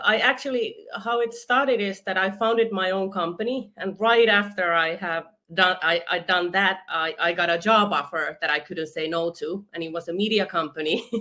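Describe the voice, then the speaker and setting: A woman's voice: lowish voice, normal speed, pushed and bright. A woman from Finland with a Finnish accent, talking enthusiastically as an interview guest over a video call, with frequent restarts. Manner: enthusiastic, laughs often, restarts words. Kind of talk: conversational interview.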